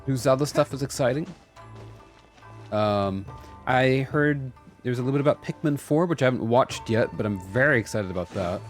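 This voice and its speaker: A man's voice: mid-range voice, normal speed. A man giving casual live stream commentary as he plays a game and chats. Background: game music.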